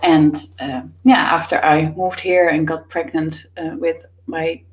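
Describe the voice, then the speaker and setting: A woman's voice: low-pitched, steady tone, a little slow, low-quality recording. A woman in her thirties from the Netherlands with a Dutch accent, answering interview questions in a thoughtful way with many fillers. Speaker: author and business coach, guest.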